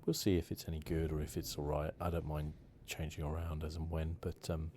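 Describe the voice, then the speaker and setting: A soft-spoken man with an extremely deep, relaxed voice hosts a gaming playthrough. He chats casually and a little hesitantly in ASMR style. Reverb and a faint hiss sit around him. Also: brisk. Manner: casual, hesitant, chatty asides. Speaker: ASMR gaming channel host.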